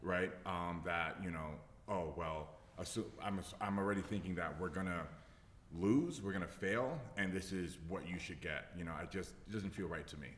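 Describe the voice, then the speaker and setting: Very deep-voiced hesitant man. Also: normal speed, a bit bright, reverberant, long reverb tail, a little hiss or hum. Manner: hesitant, restarts. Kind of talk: casual discussion.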